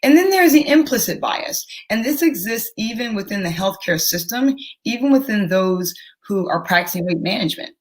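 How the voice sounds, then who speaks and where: A woman's voice: mid-range voice, lively intonation, low-quality recording. A young woman physician giving careful, educational answers as an interview guest. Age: young adult. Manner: measured, educational, careful explaining.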